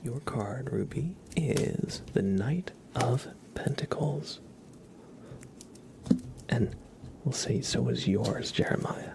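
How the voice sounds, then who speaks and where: A man hosting an ASMR livestream, speaking gently and unhurriedly in a breathy whisper with some gravel in it. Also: mid-range voice, expressive. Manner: soft-spoken, gentle, unhurried. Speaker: ASMR live streamer and host.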